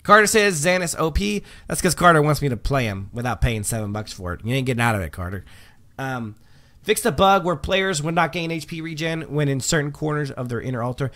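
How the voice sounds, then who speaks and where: A man's voice: on the high side, expressive, a little fast, sharp tone. A man hosting a livestream, chatting casually while reading patch notes, with lots of um fillers and restarts. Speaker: livestream host.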